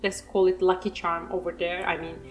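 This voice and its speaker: A woman's voice: lowish voice, flat delivery, a little fast, soft background music, poor audio. A woman with a Turkish accent, a native Turkish speaker, giving a rambling, self-mocking voice-over while she paints, laughing often. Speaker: art channel host.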